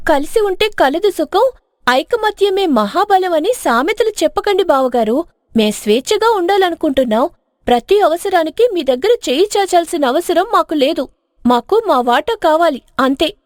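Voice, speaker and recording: A woman's voice: very high-pitched, expressive, moderate pace, no room sound, clean studio sound. A woman voicing a discontented, assertive young village wife in a story, complaining and insistent. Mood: defiant.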